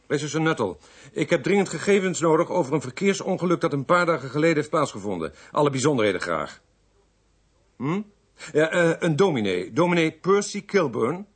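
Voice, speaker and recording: Radio drama detective: dry, grumbling, put-upon sarcasm, lively pitch swings, slightly rough voice. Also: male voice, exaggerated voice. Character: sardonic, grumbling male police detective on weekend duty.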